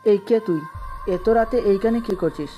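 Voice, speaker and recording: A man's voice: sits high, moderate pace, lo-fi sound, soft background music, close to an everyday voice. A man playing an aggressive masked henchman in an audio drama, bullying and menacing. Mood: aggressive challenge. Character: threatening masked male gangster henchman, aggressive.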